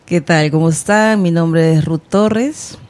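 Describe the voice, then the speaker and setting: A woman guitarist with a Peruvian accent, introducing herself on the radio in a relaxed way with hesitant fillers. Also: low voice, takes it slowly. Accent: Peruvian Spanish. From Peru. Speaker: guitarist guest.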